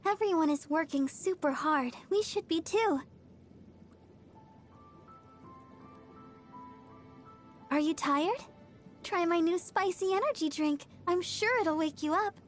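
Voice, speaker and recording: A woman's voice: very high voice, moderate pace, soft background music. A woman voicing a cheerful, devoted young girl idol healer in a video game, sweet, earnest and bubbly. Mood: sleepy, encouraging. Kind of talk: video game character voice lines.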